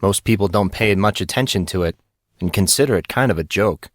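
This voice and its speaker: A man voicing a composed, matter-of-fact teenage schoolboy who explains things in a measured way, in audiobook dialogue. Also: on the low side, dry recording, clean studio sound, close to an everyday voice.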